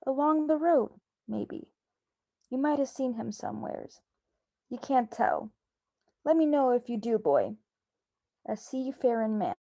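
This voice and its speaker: A woman playing a gruff, bossy old male sea captain in an amateur radio drama, with salty sailor slang. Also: on the high side, expressive, measured pace, pauses often, warm tone, full character voice. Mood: wary. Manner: nautical slang, barked orders.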